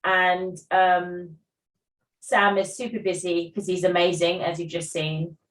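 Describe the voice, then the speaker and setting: Woman chairing a meeting, chatty and unhurried, recorded from a distance in an echoey room. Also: lowish voice, little pitch movement. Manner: chatty, laughs easily, loses her thread. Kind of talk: casual meeting chat. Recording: roomy, distant from the mic.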